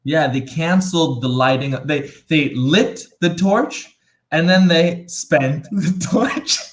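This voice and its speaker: A man's voice: a little high, slow pace. A man from Buffalo, co-hosting a casual live stream in a chatty, joking way. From Buffalo, New York. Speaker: co-host.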